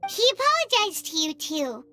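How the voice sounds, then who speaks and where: Squeaky, excitable little fairy girl. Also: normal speed, faint music behind, full character voice. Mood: curious. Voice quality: squeaky, childlike. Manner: speaks of self in third person. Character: small childlike floating fairy companion, girl, chatty and nosy. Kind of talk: animated video game voice acting.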